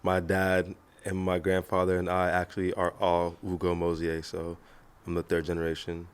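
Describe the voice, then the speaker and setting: A man's voice: sits low, monotone, moderate pace, smooth. A man as a podcast guest, chatting casually in a laid-back manner. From Nigerian heritage, grew up in the United States. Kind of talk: casual chat.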